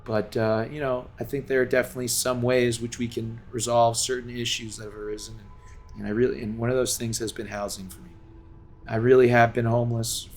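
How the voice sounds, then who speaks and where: A man's voice: mid-range voice, little pitch movement, brisk, faint music behind. A game streamer from Los Angeles talks to his viewers in a long, earnest, rambling monologue full of restarts and fillers, speaking from home.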